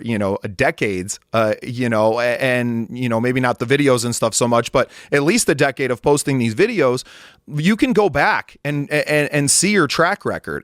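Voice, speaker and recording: A male podcast host talks through his thoughts conversationally, his voice at an ordinary pitch. The recording is dry, with no room sound. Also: a bit bright, no echo. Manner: conversational, thoughtful, many fillers and restarts. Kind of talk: interview conversation.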